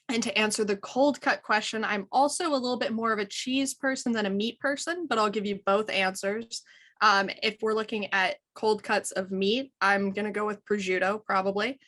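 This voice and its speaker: Female actor, mid pitch, bright forward tone, casual introduction.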